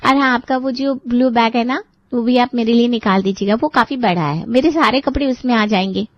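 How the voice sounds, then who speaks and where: Woman as spoiled little sister about to marry, very high voice, sweetly pleading, family drama, dull close sound. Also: brisk, no echo, close to an everyday voice. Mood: demanding, sweet. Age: young adult. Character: wheedling, spoiled young bride-to-be, pampered little sister. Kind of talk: Hindi family drama acting for story video.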